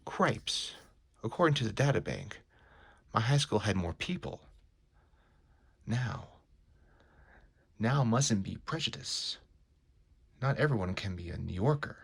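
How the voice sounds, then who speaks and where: A man's voice: on the low side, lively intonation, measured pace, creaky, long pauses, husky, full character voice. A man voicing a wary, wisecracking superhero who thinks aloud in an anxious, colloquial mutter, as in a comic book reading. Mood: wry, uneasy. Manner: muttering self-talk, colloquial exclamations.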